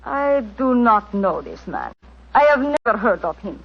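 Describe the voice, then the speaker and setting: An actress playing a guarded, haughty young cafe singer with a foreign accent, curt and suspicious, in 1940s radio style. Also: female voice, on the high side, sing-song, measured pace, vocal fry, heavily acted. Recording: muffled old radio recording.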